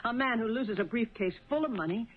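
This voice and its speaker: An actress playing a supportive, inquisitive wife in a 1970s radio drama, earnest and questioning. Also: female voice, on the high side, fairly quick, natural voice. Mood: concerned, puzzled. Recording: muffled band-limited 1970s radio recording.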